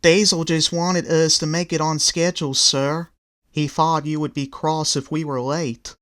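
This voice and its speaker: A man's voice: fairly high pitch, little pitch movement, measured pace, a bit bright, pitched above the performer's own voice. Man doing an earnest, innocent little brake van.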